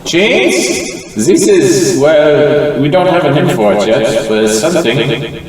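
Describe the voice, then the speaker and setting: Fumbling, scandalized puppet official, played by a man, slow and halting, distant hall reverb. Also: on the high side, a little mellow, a little hiss or hum, voice pushed up. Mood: baffled. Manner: halting, drawn-out. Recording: echoey hall, far off.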